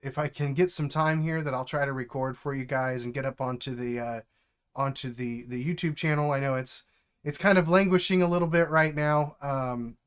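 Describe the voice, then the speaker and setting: A middle-aged man, stuffed up from allergies, chatting casually on a live stream from home, halting and full of fillers. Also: mid-range voice, fairly even intonation, clean tone. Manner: halting, with many fillers and restarts. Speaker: streamer and developer mentor.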